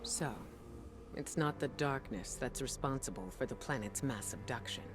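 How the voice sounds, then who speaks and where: A woman playing a proud, self-doubting spymaster in a video game, serious, measured and controlled. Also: rumbling low, music in the background. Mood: grave. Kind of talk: dramatic game cutscene dialogue.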